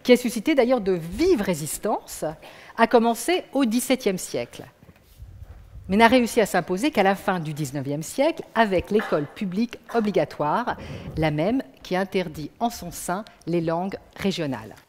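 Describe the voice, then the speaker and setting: A woman's voice: medium pitch, expressive, moderate pace, a little mellow, in a large hall, a little hiss or hum. A woman performing a declamatory stage text with emphatic, rhythmic recitation.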